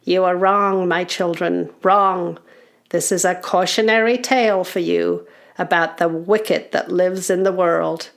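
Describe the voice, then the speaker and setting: A woman's voice: on the low side, steady tone, measured pace, gravelly. A woman playing a stern, foreboding older goblin uncle. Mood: ominous. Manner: commanding, dramatic, foreboding. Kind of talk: storybook character voice.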